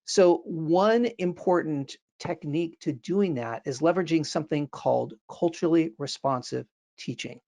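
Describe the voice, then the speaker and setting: A man's voice: a little high, normal speed, vocal fry, few pauses, darkish tone. A middle-aged man hosting an education webinar over a video call from a home office, upbeat and earnest with some fillers. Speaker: webinar host. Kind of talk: webinar interview. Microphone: earbuds on video call.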